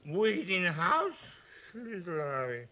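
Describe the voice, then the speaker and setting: An actor playing a drunken gentleman in a radio play, rambling haltingly, slurring and repeating himself. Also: sits high, wildly swooping pitch, full character voice. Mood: tipsy, rambling. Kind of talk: 1970s radio play acting.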